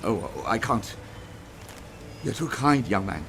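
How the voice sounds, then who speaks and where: An actor playing a poor, one-legged Chinese railway laborer who now plays music on the street, speaking slowly and humbly. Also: male voice, on the low side, sing-song, background noise, full character voice. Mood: weary. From China. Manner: slow, humble and sorrowful. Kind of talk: audio drama acting.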